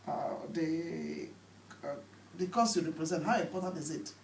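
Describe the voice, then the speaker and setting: A woman's voice: sits low, moderate pace, creaky, a little mellow. A woman hosting a radio discussion, putting questions to guests and often chiming in with agreement. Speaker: radio host. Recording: roomy, distant mic, faint noise.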